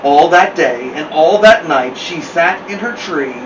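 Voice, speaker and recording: Higher-voiced man reading a children's story. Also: lively intonation, a little slow, dull audio. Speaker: storytime host and reader. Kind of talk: animated children's picture-book reading. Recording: roomy, distant mic, noisy hum.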